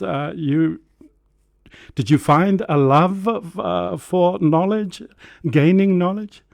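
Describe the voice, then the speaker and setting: A man's voice: fairly high pitch, a little mellow, no echo. A male host asking interview questions, hesitant and full of fillers.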